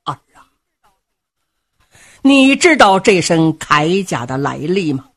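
A grieving elderly mother who speaks softly and drags out her words, as a woman storyteller plays her. Her husky voice trembles with sorrow and stays hushed. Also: lowish voice, very animated, slow pace, exaggerated voice. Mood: tender.

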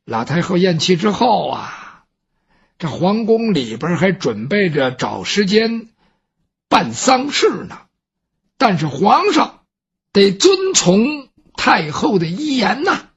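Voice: mid-range voice, sing-song, slightly rough, lo-fi sound, heavily acted. 一位说书人扮演一个絮絮叨叨劝慰上司的宫中随从。. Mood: explaining. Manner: brisk, coaxing, plain-spoken. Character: palace servant consoling his superior, chatty.

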